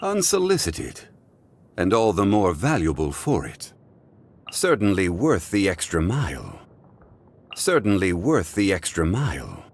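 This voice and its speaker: A man voicing an ancient, dignified guardian in a video game, formal, measured and contemplative in manner. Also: sits low, huge pitch swings, vocal fry, heavily acted. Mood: composed. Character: ancient, dignified male guardian of contracts, calm and wistful. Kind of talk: scripted video game character voicelines.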